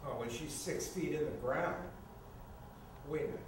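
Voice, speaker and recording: A man's voice: on the high side, normal speed, natural voice. A man acting a flippant, pleading housemate of about thirty in a staged screenplay reading. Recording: echoey, noisy room from far off.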